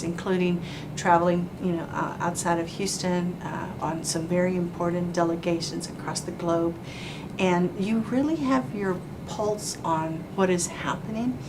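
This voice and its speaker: A woman hosting an interview, warm and formal, full of praise and asides. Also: fairly low pitch, fairly even intonation, normal speed, noisy background. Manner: effusive, praising, fond of "you know" and "like". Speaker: interview host, chamber of commerce president.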